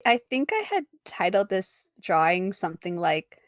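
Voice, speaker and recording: A woman speaking as an interview guest, an artist chatting casually about her own work in an animated, informal, giggly way. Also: medium pitch, moderate pace, no echo, clean studio sound.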